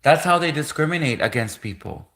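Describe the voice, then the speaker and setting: Bright-voiced man ranting on a livestream. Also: fairly high pitch, lively intonation, brisk. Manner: repetitive, insistent, many restarts. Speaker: livestream host. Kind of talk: livestream rant.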